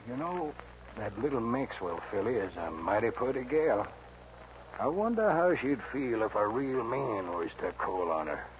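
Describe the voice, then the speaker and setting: An actor playing a rough, mocking American saloon gunslinger in a 1940s radio western, drawling his taunts. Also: medium pitch, sing-song, normal speed, lightly acted. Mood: menacing. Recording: noisy, dull old radio recording.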